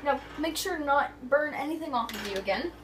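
A young woman with a high, very lively voice plays host in a kitchen cooking video, goofy and teasing as she chats through the steps. The recording is noisy and she sounds off the mic. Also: fairly quick, far from the mic. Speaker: vlogger hosting a cooking video. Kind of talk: playful, chatty cooking demonstration. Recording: echoey, noisy room, off mic.

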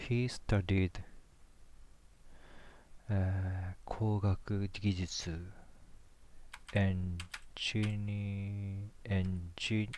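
A man with a Japanese first language, talking slowly in a low voice into a headset, halting and sounding out words under his breath. Keyboard clicks and typing sit behind him in a small room. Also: flat delivery, a little mellow, a little hiss or hum. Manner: hesitant, sounding out words, muttering to himself. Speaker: language learner streaming practice. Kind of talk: casual self-talk while studying.

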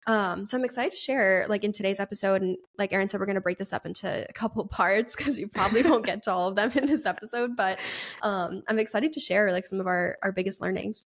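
A woman's voice: medium pitch, fast talker. A woman in her mid-twenties co-hosting a podcast, rambling casually with many "like"s and frequent giggles. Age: 20s. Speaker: podcast co-host. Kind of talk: casual chat.

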